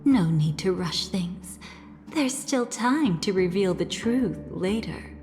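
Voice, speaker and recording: Slow, composed, calculating game heroine. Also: female voice, mid-range voice, expressive, over music. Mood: cool, teasing. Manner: measured, deliberate. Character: composed, calculating woman, unhurried and watchful. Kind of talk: scripted video game voice acting.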